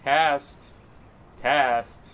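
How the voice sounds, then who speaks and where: A man's voice: mid-range voice, extremely slow, smooth. A man playing a cocky, wisecracking would-be podcast storyteller in naturalistic audio drama dialogue, with a flippant manner. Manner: flippant, deadpan joking.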